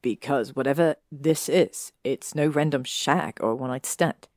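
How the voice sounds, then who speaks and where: A woman reading a fan story aloud, voicing an arrogant, possessive young male wizard in a lowered, clipped drawl. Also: normal speed, a little mellow, no echo, clean studio sound. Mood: serious.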